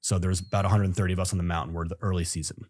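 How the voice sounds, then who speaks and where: A man's voice: deep voice, level tone, racing, darkish tone, dry recording. A man telling a story as a podcast guest, easygoing and rambling, with lots of fillers and restarts. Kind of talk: casual storytelling in an interview.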